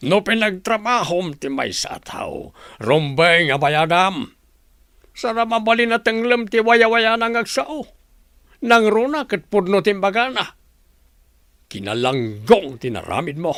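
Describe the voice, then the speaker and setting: A man's voice: high voice, lively intonation, measured pace, a bit bright, dry recording, studio quality, exaggerated voice. A man playing a stern, probing father in an Ilocano radio drama, speaking emphatically. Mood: stern scolding.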